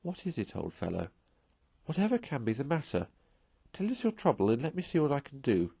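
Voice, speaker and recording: A man's voice: mid-range voice, huge pitch swings, creaky, warm tone, slightly rough, dry recording, studio quality, pitched above the performer's own voice, full character voice. Man voicing a kindly, chummy storybook rat. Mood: gentle concern. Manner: old-fashioned chummy, brisk and reassuring. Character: kindly, hearty, decisive male rat, loyal companion.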